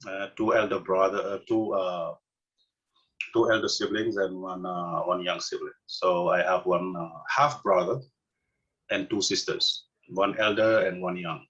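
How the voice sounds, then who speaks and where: A middle-aged man from Senegal with a Senegalese accent, answering interview questions casually with many pauses, fillers and restarts. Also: low voice, steady tone, a bit bright, muffled recording. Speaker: interview guest.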